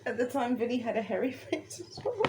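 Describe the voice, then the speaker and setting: A woman's voice: fairly high pitch, sing-song, fairly quick, background noise. A woman co-hosting a casual chat, giggling and defending herself. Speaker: co-host.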